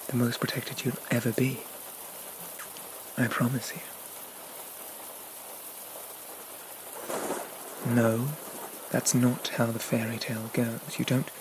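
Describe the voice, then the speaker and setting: Man acting a slow, soft-spoken possessive demon prince. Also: medium pitch, lightly acted. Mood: possessive, menacing. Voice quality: soft, breathy, often whispered. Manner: slow, coaxing, regal, condescending, with lingering pauses. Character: possessive, haughty young male demon prince of dreams, sweetly menacing. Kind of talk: ASMR roleplay, soft half-whispered dramatic monologue. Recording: noticeable rain-like background noise.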